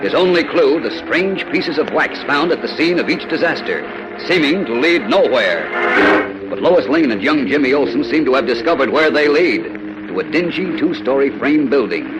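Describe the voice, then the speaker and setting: A man's voice: medium pitch, expressive, normal speed, low-quality recording. A man narrating a 1940s radio serial as announcer, in a dramatic, declamatory style. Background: music.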